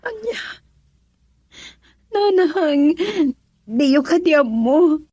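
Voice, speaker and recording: A woman's voice: high voice, sing-song, drawn-out words, warm tone, dry recording, clean studio sound, exaggerated voice. A woman playing an anxious, pleading young daughter in a radio drama, emotional in her delivery. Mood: distressed, pleading.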